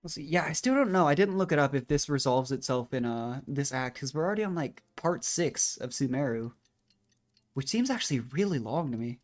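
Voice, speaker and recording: Guy game streamer chatting away casually, mid-pitched voice, kinda rambly and self-deprecating. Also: animated, brisk.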